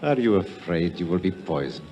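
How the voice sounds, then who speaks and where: A man playing a serene, courteous and quietly ominous high priest in a 1950s radio drama, speaking formally and soothingly. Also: lively intonation, moderate pace, soft background music. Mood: calm, courteous. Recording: muffled, noisy old radio recording, long reverb.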